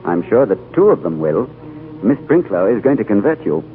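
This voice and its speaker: A tinny old radio broadcast with music carries an earnest young male hero. His voice is low and swings expressively as he speaks and narrates with reverent, thoughtful drama. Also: sing-song, normal speed. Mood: pleasant, respectful. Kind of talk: 1940s radio drama acting and first-person narration.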